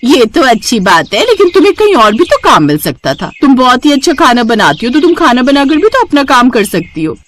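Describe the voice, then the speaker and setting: A woman's voice: sits high, sing-song, a little fast, hardly any pauses, slight background noise, pitched above the performer's own voice. A woman voicing a friendly, encouraging neighbourhood housewife in a cartoon story, with a chatty manner.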